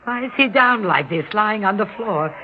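A woman's voice: medium pitch, animated, normal speed, lightly acted. A woman playing a distraught shopkeeper's wife in an old radio drama. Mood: alarmed. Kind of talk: 1960s radio drama acting. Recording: dull, noisy old radio broadcast.

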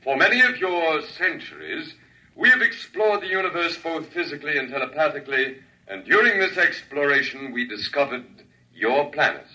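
An actor playing a dignified alien lecturer in the guise of an elder gentleman, speaking formally and grandly in a classic radio drama. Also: a little high, expressive, normal speed. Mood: lofty explaining. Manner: stately, formal, reassuring, grandiose. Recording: distant-miked vintage radio recording.

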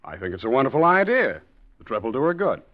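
An actor playing a husband with a hidden scheme, breezy and falsely agreeable, in 1940s radio acting on a dull old recording. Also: male voice, mid-range voice, big pitch swings, quick pace, slight background noise, natural voice.

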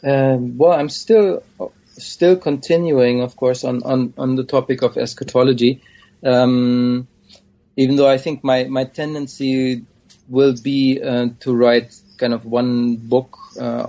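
Gravelly, flat-toned male academic. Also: medium pitch. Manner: hesitant, thoughtful, frequent pauses. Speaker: scholar of Islamic studies, guest. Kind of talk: academic interview answers. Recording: dull lo-fi audio.

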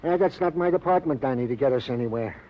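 An actor playing an earnest, sorrowful, long-winded police sergeant with pompously formal wording in a radio drama. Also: male voice, mid-range voice, animated, quick pace, natural voice. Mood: formal, mournful. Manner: wordy, pompously formal, plaintive. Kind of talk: 1950s radio drama acting. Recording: muffled old radio recording.

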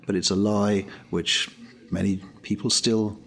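An older man from Wales, answering interview questions in a measured, thoughtful way. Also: fairly low pitch, little pitch movement, fairly bright tone. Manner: measured, thoughtful, with pauses and fillers.

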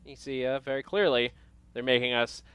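An upbeat male host with a smooth, clean voice at a middle pitch. He chats loosely about the week's episodes, with plenty of "uh" as he goes. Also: steady tone, moderate pace, fairly bright tone, no room sound. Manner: upbeat, chatty, lots of filler. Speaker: show host. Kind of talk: casual entertainment news chat.

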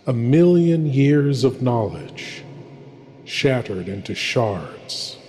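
A man playing a droll, ominous horror host lord, narrating theatrically with deliberate, wry delivery. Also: mid-range voice, sing-song, dark tone, slight background noise. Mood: musing, ominous. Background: faint music.

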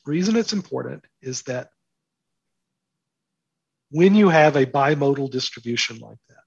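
Male interview guest, slightly high and rough-edged, emphatic explainer of big ideas, close dry lo-fi sound. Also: expressive, moderate pace, dry recording. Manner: explains with analogies and rhetorical questions. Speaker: interview guest, market and politics commentator.

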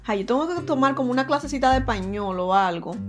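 Woman podcast host, teasing and chatty, slightly high voice. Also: expressive, quick pace, over music. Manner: chatty and teasing, calls listeners "señores" and "mi amor". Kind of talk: casual talk to listeners.

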